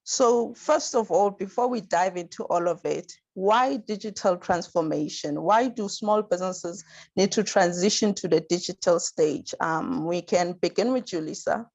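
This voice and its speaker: A woman's voice: lowish voice, moderate pace, a little mellow. A woman moderating an online panel, reading out introductions and figures with a few stumbles. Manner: reads introductions and statistics, some stumbles. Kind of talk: hosting a webinar panel. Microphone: video call.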